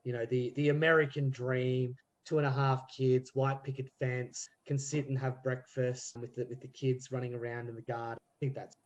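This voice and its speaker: A man's voice: mid-range voice, flat delivery, dull audio. A man explaining things casually as a podcast guest, often correcting himself and restarting as he thinks aloud. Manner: halting, self-correcting, acts out examples. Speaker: podcast guest, amateur actor.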